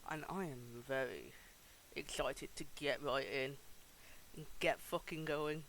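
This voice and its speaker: A man's voice: mid-range voice, takes it slowly, gravelly, a bit bright, slight background noise, poor audio. A man hosting a livestream, giving casual, informal game commentary with some swearing. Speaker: livestream host.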